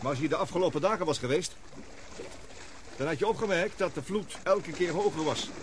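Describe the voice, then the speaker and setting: An actor playing a gloomy, guilt-ridden male scientist who explains and warns gravely in a Dutch radio play. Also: on the high side, fairly quick, slight background noise, close to an everyday voice. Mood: urgent, explaining. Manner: grave, explanatory, self-reproachful.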